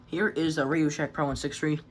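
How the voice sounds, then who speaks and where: A man's voice: fairly high pitch, level tone, fast. Offhand, sweary man on a noisy phone recording. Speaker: hobbyist presenting a radio collection. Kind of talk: casual show-and-tell vlog. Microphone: phone held in hand.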